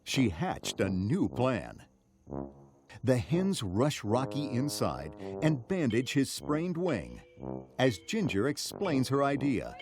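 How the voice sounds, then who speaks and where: A man's voice: medium pitch, wildly swooping pitch, slow pace, darkish tone, faint music behind. A man reading scripted narration for a children's read-along story.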